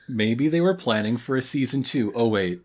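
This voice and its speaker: An animated podcast co-host of mid-range pitch, talking in a casual, comedic style. The delivery swings up and down freely, with wry asides and playful riffs. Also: moderate pace. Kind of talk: casual comedic chat about a cartoon.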